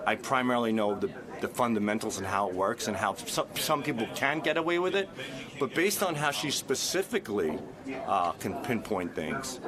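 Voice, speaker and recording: Earnest New York man amid studio chatter. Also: lowish voice. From Long Island, New York. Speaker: radio show regular. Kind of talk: unscripted conversation.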